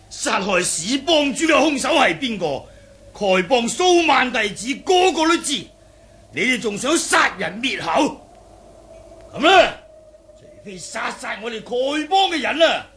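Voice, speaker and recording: A hot-headed gang chief, cantonese, high sharp voice, swoops around, picking fights. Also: male voice, big pitch swings, slow, pauses often, heavily acted. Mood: combative. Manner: belligerent, exclamatory. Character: fiery, combative male beggar clan chief. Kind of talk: Cantonese martial arts radio drama acting.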